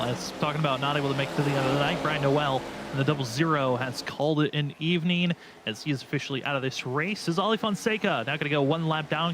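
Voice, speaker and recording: Man calling a race over loud engine noise. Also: on the high side. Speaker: play-by-play sim racing commentator. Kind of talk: live motorsport race commentary.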